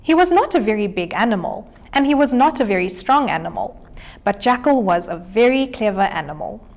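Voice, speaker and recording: A woman's voice: medium pitch, expressive, fairly quick, gravelly, a little mellow. A woman reading a children's storybook aloud. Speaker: story reader.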